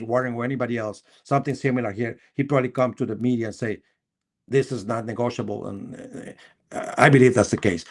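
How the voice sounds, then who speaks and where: A man with a Costa Rican accent, a native Spanish speaker, hosting a live sports discussion in a halting, repetitive way with emphatic bursts. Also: mid-range voice. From Costa Rica. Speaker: sports talk show host.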